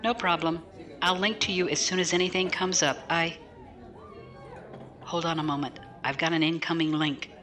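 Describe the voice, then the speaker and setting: A woman plays a no-nonsense military defense lawyer in a sci-fi audio drama. Her voice is on the low side, clipped, dry and blunt. A clear murmur of people sits behind her. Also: steady tone, normal speed, pauses often, fairly bright tone, a faint crowd, natural voice. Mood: frank, businesslike.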